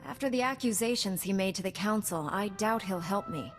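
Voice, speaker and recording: A woman's voice: medium pitch, lively intonation, brisk, in a large hall, soft background music, natural voice. A woman playing a determined young knight in a video game, direct and businesslike. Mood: serious, guarded.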